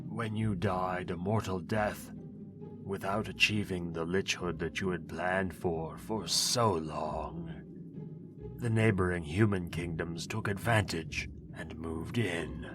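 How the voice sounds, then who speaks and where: Pompous chained necromancer, played by a man: very low voice, drawn-out delivery, wheedling, over clear music. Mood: scheming, persuasive. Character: ancient bound male necromancer, pompous and wheedling. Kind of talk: ASMR audio roleplay.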